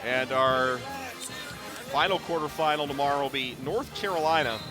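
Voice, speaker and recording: A middle-aged man calling live basketball on radio in clipped running descriptions that can rise to excited calls. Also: mid-range voice, normal speed, music in the background. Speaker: play-by-play basketball announcer. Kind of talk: live radio sports commentary. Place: courtside in a basketball arena.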